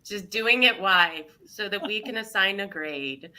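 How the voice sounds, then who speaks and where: A woman speaking as a panel guest and educator, giving short, deliberate answers. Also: mid-range voice, sharp tone.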